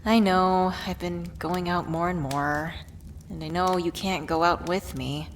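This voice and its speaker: A woman's voice: on the low side, fairly even intonation, unhurried. A woman playing a worried, affectionate witch who tenderly scolds a beloved partner in an ASMR roleplay audio drama. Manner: playfully exasperated, tender scolding with sighs. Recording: clear background ambient noise.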